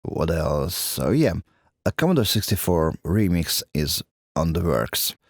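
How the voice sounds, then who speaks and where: A man with a Hungarian accent giving a casual video update in measured, plain-spoken, non-native English. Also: low voice, very animated, dry recording, clean studio sound. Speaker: musician and video channel host.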